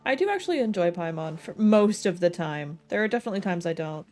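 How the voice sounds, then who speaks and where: A woman's voice: lowish voice, lively intonation, fast talker, a little mellow. Playful woman streamer over game music. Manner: playful, jokey asides. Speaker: livestreamer playing a video game. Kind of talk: casual chat and game commentary to viewers. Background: video game music.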